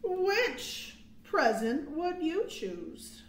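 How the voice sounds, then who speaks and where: High-voiced American woman reading to young children. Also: big pitch swings, a little hiss or hum. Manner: sing-song, drawn-out phrasing, asking questions to young children. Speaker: children's librarian, storytime host. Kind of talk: storytime read-aloud and counting rhymes for children. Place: small quiet room indoors. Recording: roomy webcam sound.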